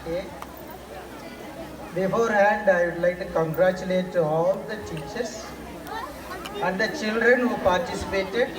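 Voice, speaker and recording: A school official giving a formal speech at an outdoor ceremony and announcing competition results with rising emphasis. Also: mid-range voice. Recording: echoey, distant mic, loud crowd and noise.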